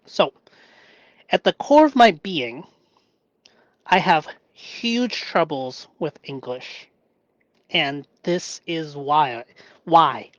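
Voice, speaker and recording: Slow soft-spoken guy from hong kong, cantonese first language, halting and searching for words, dry close sound. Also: on the high side, lively intonation, dry recording, dull audio. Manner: halting, searching for words, often asks "right?". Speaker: vlogger. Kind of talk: reflective personal monologue.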